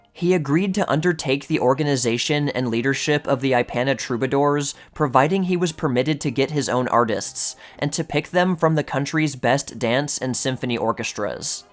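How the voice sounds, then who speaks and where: A man giving a measured, scripted narration, reading an article aloud and enunciating each item. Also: medium pitch. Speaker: channel narrator reading a vintage magazine article. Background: music.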